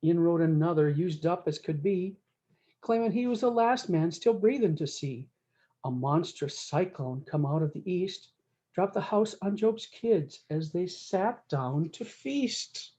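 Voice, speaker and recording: Mellow woman reading a folksy storybook aloud. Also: on the low side, lively intonation, measured pace. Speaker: story time host reading a picture book. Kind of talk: read-aloud of a rhyming cowboy storybook with brief asides.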